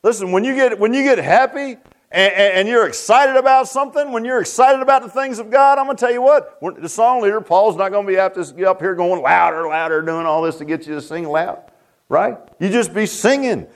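Pastor man preaching in church, talks with restarts and repeats, calls out to folks, kinda high voice. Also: fairly quick. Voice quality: deep voice. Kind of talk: sermon. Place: church sanctuary.

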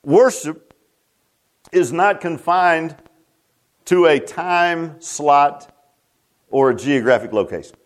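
Preacher man with a fairly high voice. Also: takes it slowly, long pauses. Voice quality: deep voice. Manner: repeats and restarts, calls out to the congregation. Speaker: pastor. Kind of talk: sermon. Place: church sanctuary.

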